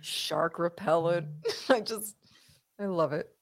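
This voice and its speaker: A woman's voice: on the low side, takes it slowly. A woman co-hosting a casual watch-party podcast, talking emphatically with exasperated rhetorical questions. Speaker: podcast co-host.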